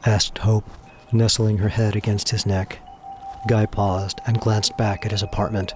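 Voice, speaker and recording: A man's voice: on the low side, flat delivery, unhurried. A man narrating a scripted audio drama in a theatrical, suspenseful storytelling manner. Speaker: narrator and voice actor. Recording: music behind the voice.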